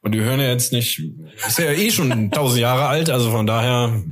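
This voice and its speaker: A man's voice: medium pitch, brisk. A man around his thirties chatting casually on a podcast, colloquial, jokey and self-deprecating.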